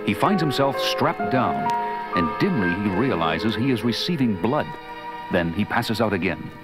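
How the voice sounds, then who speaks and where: An actor playing a grave, suspenseful adventure narrator, portentous and theatrical in scripted storybook style. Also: male voice, fairly low pitch, very animated, unhurried, loud music behind the voice.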